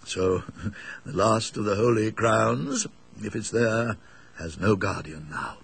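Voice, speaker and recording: A man's voice: lowish voice, takes it slowly, vocal fry, a bit bright, slightly rough, full character voice. A man voicing a kindly, talkative elderly English rector in a ghost story, musing and hesitant, with donnish emphasis. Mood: regretful. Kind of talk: dramatised ghost story reading.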